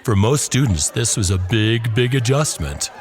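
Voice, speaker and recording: A man narrating a scripted horror story as a podcast host, with deliberate, dramatic and ominous emphasis. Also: mid-range voice, lively intonation, a faint crowd. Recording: music under the voice. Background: music.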